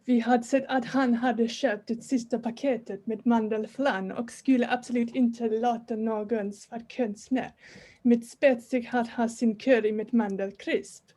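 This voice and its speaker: Woman as huge jovial metal star, storyteller, soft mellow tone, higher pitch. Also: steady tone, unhurried. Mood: storytelling. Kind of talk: informal script table read.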